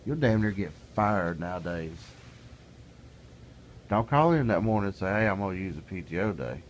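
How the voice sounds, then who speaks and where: A man's voice: low-pitched, lively intonation, normal speed, slight background noise. A middle-aged man talking casually to camera in a plainspoken, folksy way, building into an exasperated rant. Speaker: vlogger. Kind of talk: casual ranting monologue.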